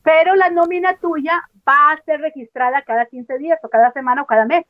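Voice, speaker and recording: High-voiced woman over dull call audio. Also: fairly even intonation, a little fast, dry recording. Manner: frank, emphatic, laughs off sensitive points. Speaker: guest, former member. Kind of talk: testimony in a video-call interview.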